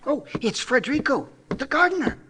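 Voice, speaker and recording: An actor playing an eager, excitable young sidekick in an old-time radio juvenile adventure. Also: high-pitched, fairly quick. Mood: tense. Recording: live stage recording, faint noise.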